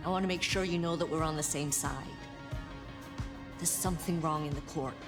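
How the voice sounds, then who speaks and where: Actress as a wry, low-voiced vampire gang leader. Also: female voice, steady tone, a little fast, close to an everyday voice. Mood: earnest, urgent. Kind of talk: video game voice acting. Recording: game music underneath.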